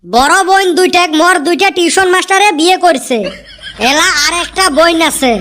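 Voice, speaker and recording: Very high-pitched cheeky cartoon schoolboy. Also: female voice, normal speed, fairly bright tone. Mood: sly teasing. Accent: northern Bengali. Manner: sly, mock-innocent. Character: cheeky scheming schoolboy, master of tall tales. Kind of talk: comic cartoon dialogue. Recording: noisy track with clicks.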